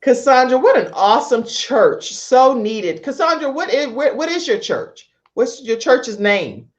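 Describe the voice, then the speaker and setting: A woman hosting a casual live online talk show in a chatty, expressive, informal way over lo-fi call audio. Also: sits high, measured pace. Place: live video stream from a studio setup.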